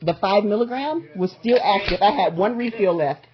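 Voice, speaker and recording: A woman's voice: medium pitch, expressive, moderate pace. A woman speaking as a patient on a phone call, pressing her questions in an insistent, demanding, confrontational way and often repeating them. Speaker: patient calling a medical provider. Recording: muffled lo-fi phone call recording.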